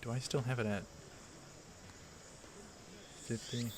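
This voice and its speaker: A man hosting a let's play, reading a visual novel aloud with casual asides in a halting, measured way. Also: medium pitch, steady tone, background noise.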